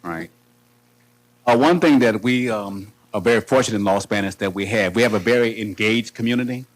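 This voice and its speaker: A man's voice: on the low side, brisk, rough recording. A man, a school district leader, introducing a presentation in loose, rambling remarks at a board meeting. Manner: loose and rambling, many fillers and restarts. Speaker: school superintendent. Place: meeting room.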